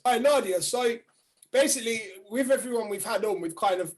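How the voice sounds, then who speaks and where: A man's voice: extremely high pitch, brisk, a crowd in the background. A man from the UK, a DJ co-hosting a podcast, asking rambling, jokey questions. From United Kingdom. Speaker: podcast co-host, DJ. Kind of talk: casual chat.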